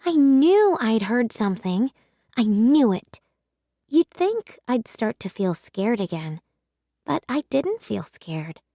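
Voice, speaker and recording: A woman reading a children's story aloud with measured, dramatic storytelling. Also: a little high, big pitch swings, mellow, no echo, studio quality. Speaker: children's audiobook reader.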